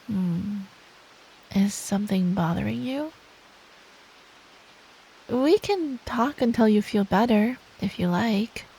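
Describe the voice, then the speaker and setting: A woman playing a sweet, caring, teasing girlfriend in an intimate ASMR roleplay, talking softly and breathily. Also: mid-range voice, lively intonation, exaggerated voice, low-quality recording. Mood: tender, caring. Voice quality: soft, breathy. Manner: slow, affectionate, playfully teasing. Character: sweet, teasing, caring girlfriend telling an improvised bedtime story.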